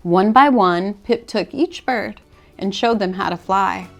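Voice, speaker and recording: A woman reading a rhyming children's storybook aloud as a read-aloud host, with expressive, deliberate phrasing. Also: mid-range voice, gravelly.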